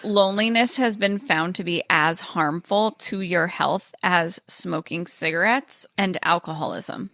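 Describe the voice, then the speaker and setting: A woman's voice: on the low side, measured pace, hardly any pauses, fairly bright tone, no room sound. A woman hosting a casual podcast chat, explaining research in a chatty, easygoing way. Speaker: podcast host.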